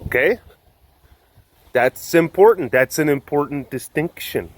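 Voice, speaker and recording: A man's voice: fairly high pitch, expressive, a little slow. Joking man with a Wisconsin accent. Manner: playful, rambling, jokey. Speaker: animal sanctuary vlogger. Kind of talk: casual vlog chat.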